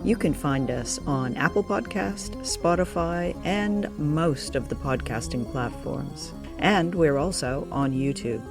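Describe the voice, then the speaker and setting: A woman's voice: sits low, takes it slowly, few pauses. A woman speaks as co-host of a radio show, with music in the recording. She chats casually and keeps her humour dry and understated.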